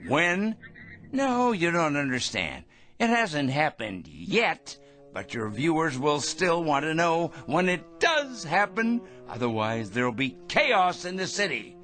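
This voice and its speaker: An actor playing a pompous, paranoid megalomaniac in a radio drama, with a theatrical, feverishly excitable, ranting manner. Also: male voice, fairly high pitch, slow, soft background music, full character voice. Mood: urgent, self-important.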